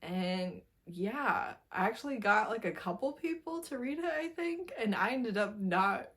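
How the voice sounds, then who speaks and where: A woman's voice: mid-range voice, expressive, unhurried, fairly bright tone. Chatty, emphatic woman reviewing books. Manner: informal, emphatic, repeats phrases for emphasis. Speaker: book reviewer vlogger. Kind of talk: casual chatty book review to camera.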